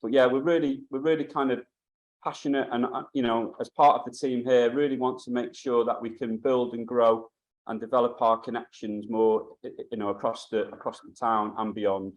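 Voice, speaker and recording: Halting man giving a venue welcome. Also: mid-range voice, fairly even intonation, dull audio. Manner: halting, many restarts and fillers. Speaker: venue manager. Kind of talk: welcome talk at a meeting. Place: theatre stage.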